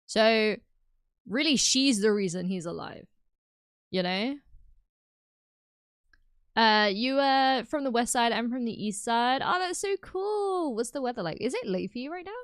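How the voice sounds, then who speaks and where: A British woman livestreaming, chatting casually and reacting loudly to her chat. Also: fairly high pitch, measured pace, long pauses, clean tone, dry recording, clean studio sound. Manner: animated and exclamatory, reacting to chat. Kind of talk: casual live stream chat.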